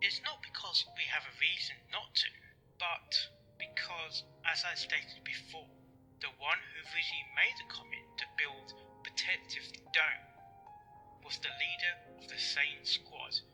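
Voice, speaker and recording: A formal pony official voiced by a man speaks in a very bright, forceful, fairly high voice. The delivery is measured and hesitant, with faint music behind the audio drama. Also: rough recording. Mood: formal, regretful.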